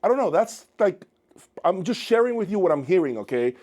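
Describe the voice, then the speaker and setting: A man's voice: sits high, big pitch swings, fairly quick, darkish tone. A man reviewing audio speakers in an unscripted spoken review. He thinks aloud as he listens and often asks viewers to share their views. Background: music playing on the speakers.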